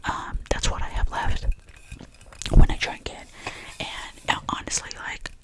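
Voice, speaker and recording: A woman, whispering softly through a chatty, rambling ASMR talk about her favourite things. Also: unhurried. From Albania. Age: 20s. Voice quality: soft unvoiced whisper. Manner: rambling and chatty, with many fillers. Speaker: ASMR creator.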